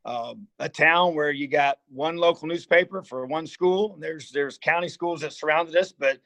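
Earnest rambling coach from Indiana. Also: male voice, a little high, normal speed, vocal fry, a bit bright, no room sound. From Indiana, USA. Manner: rambling, earnest, frequent "you know" and restarts. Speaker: head football coach, guest. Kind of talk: casual interview storytelling.

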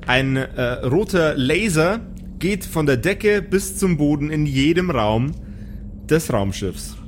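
A man narrating an improvised pen-and-paper audio story as game master, describing scenes haltingly. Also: a little high, lively intonation, slight background noise.

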